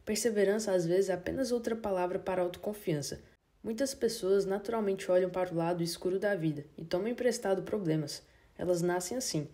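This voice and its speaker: Mellow, steady woman audiobook narrator. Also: fairly low pitch. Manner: steady, measured reading.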